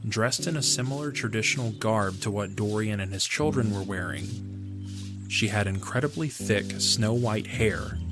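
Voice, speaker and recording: A man reading scripted narration for a fantasy audio drama. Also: lowish voice. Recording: music under the voice. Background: music.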